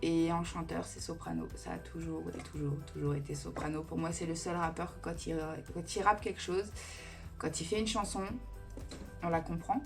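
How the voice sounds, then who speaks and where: Chatty lower-voiced woman vlogger. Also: expressive, normal speed, vocal fry, soft background music. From Italian family roots. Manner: chatty and digressive, with many fillers. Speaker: beauty vlogger. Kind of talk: casual Q&A chat.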